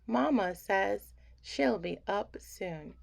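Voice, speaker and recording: A woman reading a children's bedtime story aloud in an expressive, theatrical way that acts out the emotions in the words. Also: medium pitch, slow pace, gravelly.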